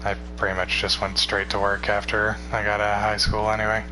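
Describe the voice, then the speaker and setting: Young male streamer, 21, tired, low even delivery, loose and rambling, noisy recording with a hum. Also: a little fast. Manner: rambling, relaxed, swears casually. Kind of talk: casual chat with stream viewers.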